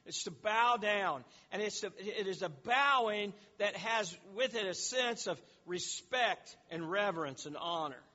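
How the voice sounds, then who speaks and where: Guy preaching in a big echoey hall, kinda muffled, high voice, keeps restarting words. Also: animated, a little slow, hardly any pauses, pushed and bright. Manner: explanatory, frequent repeated words and restarts. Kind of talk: sermon teaching.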